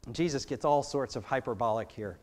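A man's voice: medium pitch, a little fast, a little mellow. A man in his thirties with an American accent, preaching a sermon from a church pulpit microphone, conversational and full of rhetorical questions. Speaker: pastor. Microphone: gooseneck pulpit microphone.

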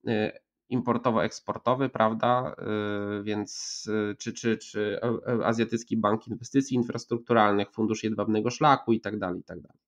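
A man in his thirties giving a measured geopolitical analysis over a video call from a room at home, with many hesitations and restarts. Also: fairly low pitch, steady tone. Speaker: geopolitics commentator. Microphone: video call, earphones with mic. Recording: slightly dull lo-fi call audio.

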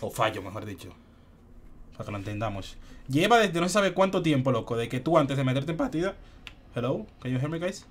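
A man's voice: medium pitch, sing-song, a little fast, slight background noise. A young man from the Republic, chatting casually as he streams a shooter game, slipping English words in. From Dominican Republic. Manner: chatty, laid-back, mixes English words in. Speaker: gaming streamer. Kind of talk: casual gameplay commentary. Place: home room, indoors.

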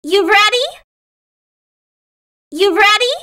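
A woman's voice: very high-pitched, slow pace, pushed and bright, heavily acted. A woman voicing a spirited, combative young female fighter in short video game battle lines. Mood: excited.

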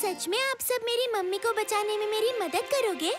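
A little cartoon mermaid girl. The voice is tiny and extremely high, childlike and plaintive, with loud music behind it. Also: moderate pace. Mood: hopeful urgency. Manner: childlike, plaintive, sweet-pleading. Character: small, pleading, innocent little girl mermaid. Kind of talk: animated children's cartoon acting.

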